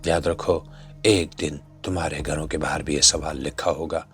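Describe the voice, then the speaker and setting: A man's voice: a bass voice, steady tone, moderate pace, fairly bright tone. A man delivering scripted social commentary in a rhetorical, admonishing, exhortative manner. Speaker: narrator and commentator. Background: background music.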